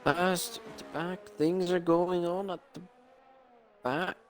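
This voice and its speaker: A man's voice: sits high, fairly even intonation, slow. A man giving casual live co-commentary on a sim race, often hesitant as he searches for words. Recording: faintly noisy stream microphone.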